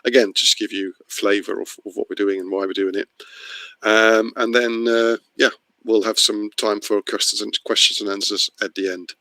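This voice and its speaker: A man's voice: lowish voice, level tone, moderate pace, pushed and bright, dry recording, poor audio. A middle-aged man from the Netherlands presenting a webinar, explaining informally and a little hesitantly as he goes. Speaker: course presenter. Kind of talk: webinar introduction, spoken off the cuff. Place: online video meeting.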